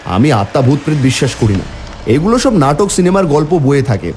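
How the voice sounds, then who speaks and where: Animated male horror narrator, mellow tone. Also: fairly high pitch, a little fast, slight background noise. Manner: theatrical, exclamatory in dialogue. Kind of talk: dramatised first-person horror storytelling for a cartoon.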